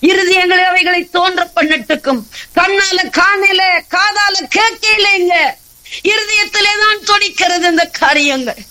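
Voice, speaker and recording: High, bright-voiced preacher. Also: measured pace, poor audio. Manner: emphatic, exhortatory, with rhetorical repetition. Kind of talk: sermon.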